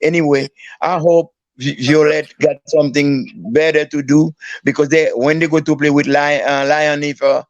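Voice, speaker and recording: A male podcast guest speaking halting, broken English and often repeating himself. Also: fairly high pitch, flat delivery, dry recording, lo-fi sound. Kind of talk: casual podcast chat.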